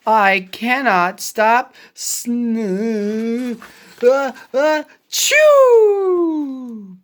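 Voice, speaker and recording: A woman's voice: a little high, animated. Woman voicing a melodramatic, anxious elephant. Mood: worried. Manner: halting, drawn-out, dramatic delivery. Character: anxious, dramatic male elephant with sneezing fits. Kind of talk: children's picture-book read-aloud.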